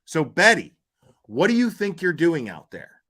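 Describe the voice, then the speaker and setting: A man with a slightly high-pitched voice hosts an unscripted livestream commentary. He speaks bluntly and argumentatively, with coarse wording. He leans on repeated phrases to drive his points home. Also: huge pitch swings, a little slow, pushed and bright. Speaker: livestream host commenting on crime cases.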